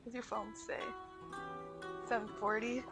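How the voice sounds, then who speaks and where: Higher-voiced woman streamer over loud music. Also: little pitch movement, a little slow. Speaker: game streamer. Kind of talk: casual chat during a live stream. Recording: home stream with loud game music behind. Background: loud game music.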